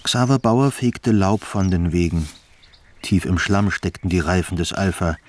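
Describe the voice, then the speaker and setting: A man's voice: deep voice, a little slow. A man narrating a radio drama in a scripted literary style. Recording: music behind the voice. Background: music.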